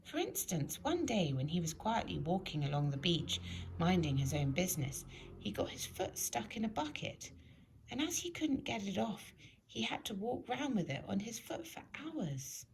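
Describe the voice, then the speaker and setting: Animated woman reading a children's storybook. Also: medium pitch, normal speed, creaky, slight background noise, poor audio. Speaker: storybook reader.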